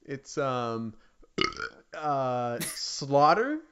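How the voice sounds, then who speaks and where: A man co-hosting a podcast in casual gaming chat, with a hesitant, halting delivery. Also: medium pitch, very animated, a bit bright, clear, resonant tone, lo-fi sound. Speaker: podcast co-host.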